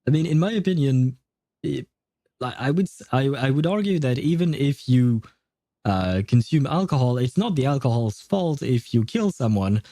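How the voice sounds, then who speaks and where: A man's voice: mid-range voice, expressive, moderate pace, warm tone, no room sound. A man doing casual livestream game commentary, jokey and rambling as he thinks aloud into a close mic.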